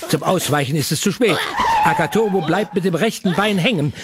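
A man's voice: sits high, fairly quick, background noise, close to an everyday voice. A man narrating a children's audio play as a playful, dramatic storyteller.

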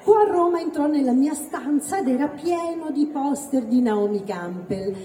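A woman's voice: high voice, lively intonation, moderate pace, warm tone. A woman from Calabria, performing a theatrical, exclamatory stand-up monologue in a live venue. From Calabria, Italy. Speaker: stand-up comedian, actress. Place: live venue with ambience behind. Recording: echoey hall, faint noise.

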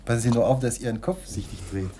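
An actor playing a polite, chatty Tunisian hotel servant in a radio play, with a deferential manner. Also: male voice, mid-range voice, fairly quick, warm tone, a little hiss or hum, lightly acted. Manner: deferential, chatty, sprinkles French words.

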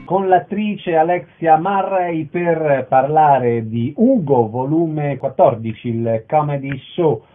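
A man's voice: on the high side, slow pace, hardly any pauses, soft background music. A man hosting a radio interview, giving announcements and putting questions to guests. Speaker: radio host. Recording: dull, band-limited radio sound.

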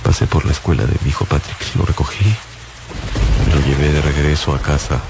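A man acting in a radio novel as a shaken father fleeing an invasion, narrating in a halting, haunted way over music. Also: very deep voice, fairly even intonation, creaky, background noise. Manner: halting, haunted, hesitant delivery with repeated words. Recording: loud music behind radio drama narration. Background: loud dramatic music.

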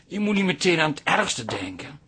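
An actor playing a concerned, plain-spoken grown son in a naturalistic radio drama. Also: male voice, on the high side, very animated, normal speed, background noise. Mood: worried.

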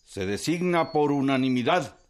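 A man's voice: mid-range voice, animated, normal speed, lightly acted. A man acting a solemn rebel officer in a radio drama, formally declaiming a proclamation to comrades.

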